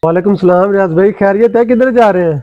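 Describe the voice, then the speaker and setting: A man's voice: high voice, no room sound, lightly acted. A man playing a polite, cash-strapped acquaintance in an amateur moral drama, friendly and apologetic. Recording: thin phone-like dry sound.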